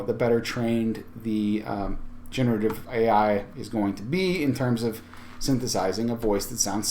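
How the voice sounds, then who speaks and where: A man's voice: medium pitch, a little mellow. Conversational man hosting a tech tutorial. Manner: informal, conversational, thinking aloud. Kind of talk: casual screen-share tutorial.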